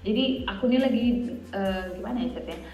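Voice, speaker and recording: Wanita usia dua puluhan dari Indonesia dengan aksen Indonesia, mengobrol santai sebagai narasumber dan sering menyelipkan bahasa Inggris. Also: female voice, mid-range voice, fast, a little mellow. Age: 20s. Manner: chatty, mixes English into Indonesian. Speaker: interview guest, student living abroad. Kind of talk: casual conversational interview. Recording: roomy echo, music underneath. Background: background music.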